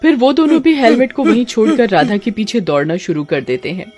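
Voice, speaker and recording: A woman's voice: on the high side, very animated, a little fast, darkish tone, soft background music. Woman narrating a cartoon story. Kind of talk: scripted children's story narration.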